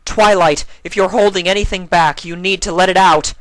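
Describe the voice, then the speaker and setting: Regal storybook mare princess, a man's put-on voice: commanding, raised pitch, forceful projection, dry recording. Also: steady tone, normal speed, no room sound, low-quality recording. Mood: urgent, commanding. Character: commanding regal female pony princess, urgent.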